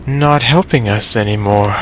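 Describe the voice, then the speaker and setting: Cruel vampire crime boss played by a woman: extremely low, hard-edged voice, sneering menace, faint noise behind. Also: a little slow, poor audio. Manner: sneering, threatening. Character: cruel male vampire villain, commanding and menacing. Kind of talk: audio fan fiction acting.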